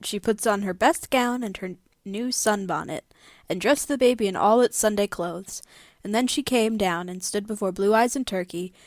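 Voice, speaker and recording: A woman's voice: medium pitch, moderate pace, no room sound, clean studio sound. A woman co-hosting a podcast, casually discussing a story and reading passages of it aloud. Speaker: podcast co-host.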